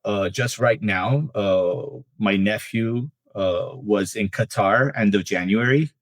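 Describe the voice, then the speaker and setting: A man's voice: fairly low pitch, slow, no room sound. A man who works as an immigration attorney, telling anecdotes as a podcast guest with frequent "uh" fillers. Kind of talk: casual podcast interview.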